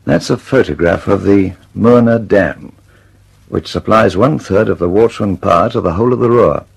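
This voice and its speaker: Husky, stubborn British inventor. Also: male voice, on the low side, normal speed. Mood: intense, resolute. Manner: emphatic, precise, insistent. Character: earnest, stubborn, impatient male British inventor. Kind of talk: radio drama acting.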